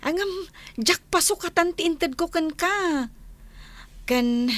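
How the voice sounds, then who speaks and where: Sweet talking young ilocano schemer girl, high swoopy voice, close dry sound, radio comedy. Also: expressive, takes it slowly, a bit bright, no room sound, full character voice. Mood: appreciative, cheerful.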